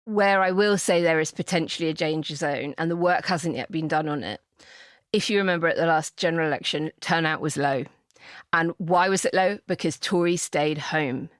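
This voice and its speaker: A woman's voice: deep voice, normal speed, no room sound, clean studio sound. A woman with a British accent discussing politics as a podcast co-host, speaking emphatically and repeating phrases for effect. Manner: emphatic, repeats phrases, acts out imagined exchanges. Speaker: podcast co-host and polling campaigner.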